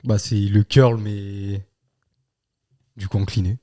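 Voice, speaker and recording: A man's voice: lowish voice, steady tone, takes it slowly, mellow. A man answering quiz questions in short, blunt replies during casual banter. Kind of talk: casual chat.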